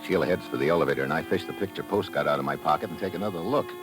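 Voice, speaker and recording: A cool, hard-boiled investigator in a vintage radio drama. His voice sits very low, and he speaks in a dry, understated way, whether questioning someone or narrating his case. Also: racing, over loud music. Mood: brooding narration.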